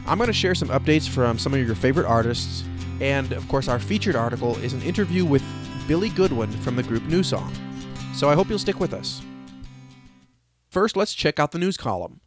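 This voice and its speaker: A man's voice: mid-range voice, animated, a little fast. Upbeat man hosting over background music. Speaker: host. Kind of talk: scripted newsletter narration and interview.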